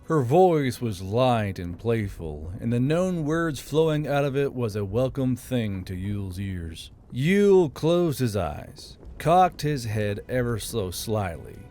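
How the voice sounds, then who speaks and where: Medium-pitched male fantasy story narrator. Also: big pitch swings, slow, few pauses, mellow. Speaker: narrator and channel host. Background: background music.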